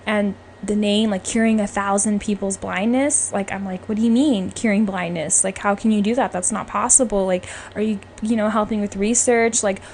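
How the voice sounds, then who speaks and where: A woman's voice: medium pitch, steady tone, moderate pace, hardly any pauses, darkish tone. A woman hosting a podcast, talking casually and emphatically as she gives her opinions. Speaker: podcast host. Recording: clearly noisy background.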